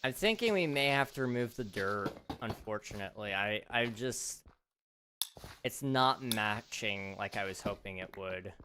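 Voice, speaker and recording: A man's voice: on the low side, lively intonation, a little slow, noisy background. A man hosting a casual gaming livestream, rambling and chatty with lots of fillers, his voice slightly strained as he starts to lose it. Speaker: livestream host.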